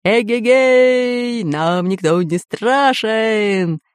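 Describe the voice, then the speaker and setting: A swaggering storybook pirate, acted by a woman, boastful and loud-mouthed. He bellows in slow, stretched phrases, recorded close and dry. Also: low-pitched, animated, husky, dry recording, voice pushed down, full character voice. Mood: exultant. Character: blustering, boastful male air pirate.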